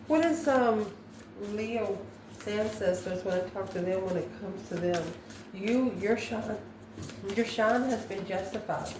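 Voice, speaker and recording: A woman works through a spiritual tarot reading in a halting, musing manner. Her voice sits at an average pitch and comes from a little way off the mic in a clearly echoey room. She poses questions and answers them as she goes. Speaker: tarot card reader, channel host. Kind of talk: improvised spiritual tarot reading, talking to viewers. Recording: echoey room, distant mic, faint noise.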